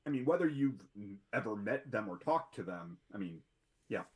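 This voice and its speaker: A man's voice: on the low side, big pitch swings, normal speed. A man at a tabletop game, chatting out of character in a rambling way full of fillers. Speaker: player. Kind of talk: casual table talk.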